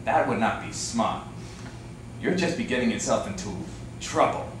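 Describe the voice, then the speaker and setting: A man's voice: medium pitch, expressive, moderate pace, close to an everyday voice. A man in an amateur staged reading playing a scheming fight fixer, bossy and condescending, with a veiled menace. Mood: smooth pressure. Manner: wheedling yet menacing, condescending. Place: echoey indoor room, staged reading. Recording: distant echoey room, faint noise.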